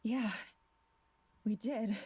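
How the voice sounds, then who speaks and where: A woman's voice: fairly high pitch, steady tone, very slow, warm tone, breathy edge, no room sound, studio quality, heavily acted. An actress playing a sweet, earnest young woman with tender concern in a visual novel. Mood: relieved.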